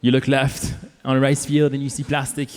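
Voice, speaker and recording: A man's voice: mid-range voice, monotone, fairly quick, darkish tone. A man from Indonesia speaking earnestly as a panelist, with many "um"s. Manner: earnest, filler-heavy. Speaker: panelist, nonprofit founder. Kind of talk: panel talk. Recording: long hall reverb.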